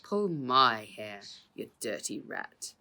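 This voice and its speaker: Storybook uncle: indignant older relative, growling, defiant, creaky female reader performs him. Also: very deep voice, sing-song, slow, pushed and bright, full character voice. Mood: growling defiance. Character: bald, indignant, defiant uncle and factory boss.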